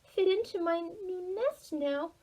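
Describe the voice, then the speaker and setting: A woman's voice: extremely high pitch, animated, slow pace, mellow, pitched above the performer's own voice, heavily acted, low-quality recording. A woman voicing a small, worried storybook hedgehog in a plaintive, dismayed way.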